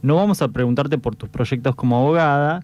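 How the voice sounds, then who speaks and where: A man co-hosting a radio interview, asking a question haltingly with many fillers. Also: medium pitch, darkish tone, highs cut off.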